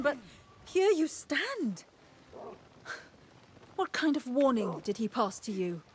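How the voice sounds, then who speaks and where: Lively high-voiced concerned ally. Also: female voice, a little hiss or hum. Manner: expressive, questioning. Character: concerned, curious, expressive female ally. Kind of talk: fantasy game cutscene acting.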